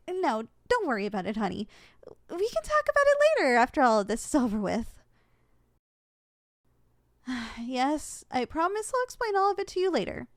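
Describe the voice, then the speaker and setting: High-pitched, animated young succubus girl, played by a woman. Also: no room sound, clean studio sound. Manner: sweet, coaxing, easily flustered. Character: young female slime succubus in training, affectionate, competitive. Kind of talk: ASMR roleplay acting.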